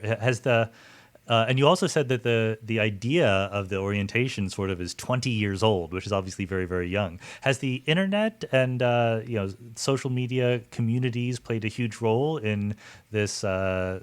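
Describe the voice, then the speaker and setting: A man hosting a conversational interview, thinking aloud and rephrasing his questions as he goes. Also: lowish voice. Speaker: podcast host, interviewer.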